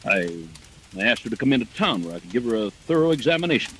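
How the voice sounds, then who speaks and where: An actor playing a skeptical, matter-of-fact family doctor in an old-time radio mystery. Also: male voice, medium pitch, very animated, fast talker, a bit bright, slight background noise.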